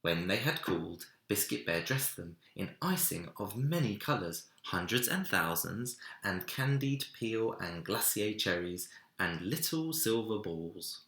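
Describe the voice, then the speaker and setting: A man reading a children's story aloud in an expressive narrating style, recorded in an echoey room. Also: fairly low pitch, measured pace, few pauses. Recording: roomy, echoey indoor sound.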